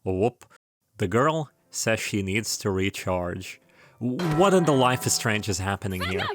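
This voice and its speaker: A man's voice: on the low side, expressive, measured pace, music in the background. A man narrating in a casual, scripted video style, with wry, irreverent, slangy joking asides. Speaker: video essayist.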